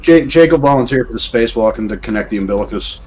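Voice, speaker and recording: A man's voice: mid-range voice, lively intonation, fast, a little mellow, breathy edge. A man talking casually as a player in a tabletop role-playing game. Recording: faint background noise.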